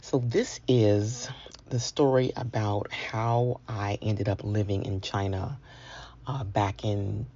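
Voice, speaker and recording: American lady storyteller from new york, lowish gravelly voice, jokey and casual, kinda muffled recording. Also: fairly even intonation, slow, dark tone. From New York, USA. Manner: playful, joking. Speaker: storyteller, comedian and educator. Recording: dull, lo-fi voice recording.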